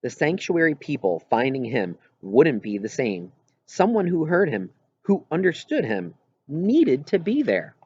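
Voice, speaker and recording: A man reading a children's novel aloud as scripted narration, with expressive storytelling delivery. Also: fairly high pitch, a little slow, husky, dry recording, lo-fi sound. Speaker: audiobook reader.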